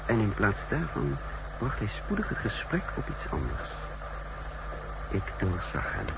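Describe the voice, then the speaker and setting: Gravelly, low-voiced secret agent. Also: male voice. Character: cool, calculating male secret agent recounting his mission. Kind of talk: 1960s radio drama narration. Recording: hissy 1960s radio recording.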